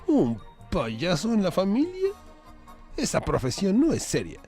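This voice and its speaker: A man voicing a prim, easily offended old aunt in a shrill, exclamatory way, in a story reading. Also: huge pitch swings, a little slow, darkish tone, soft background music, heavily acted. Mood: indignant.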